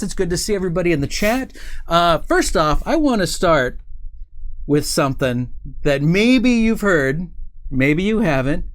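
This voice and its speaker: A man hosting a live stream, chatting informally and playfully with his audience as he rambles through announcements. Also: sits high.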